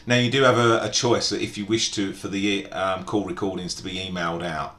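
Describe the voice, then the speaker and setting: A man narrating an informal step-by-step software tutorial in a methodical, explanatory way. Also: flat delivery, brisk. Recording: echoey room, background hiss and rumble.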